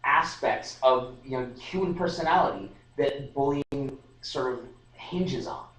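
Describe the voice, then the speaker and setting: A man's voice: mid-range voice, lively intonation, unhurried, fairly bright tone. A young man talks loosely, restarting his sentences and leaning on fillers. His voice sits in the middle range and comes from some distance off the mic. The room gives it a hollow echo. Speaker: podcast host. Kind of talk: casual discussion. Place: room at a student society meeting. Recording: roomy live stream audio, distant mic.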